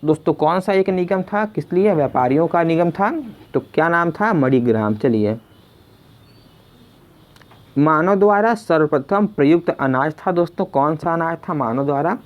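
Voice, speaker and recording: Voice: medium pitch, lively intonation, moderate pace. A tutor narrating a question-and-answer exam lesson, repeating questions and addressing listeners as friends. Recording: dull, lo-fi sound.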